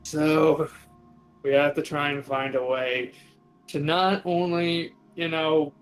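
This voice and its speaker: Hesitant man with a higher-pitched voice. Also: fairly even intonation, slow. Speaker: player in a tabletop roleplaying game. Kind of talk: casual in-character improvised roleplay chat. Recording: music behind the voice. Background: music.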